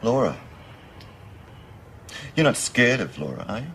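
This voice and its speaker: A man playing a calm therapist who gently probes with questions. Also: mid-range voice, a little slow, a little hiss or hum. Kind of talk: stage drama acting.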